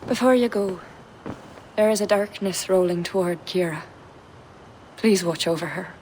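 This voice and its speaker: Actress as a low-voiced mystic healer, solemn and hushed. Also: female voice, moderate pace, slight background noise, natural voice, poor audio. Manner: hushed, solemn, often whispering. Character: mysterious woman healer of old lore.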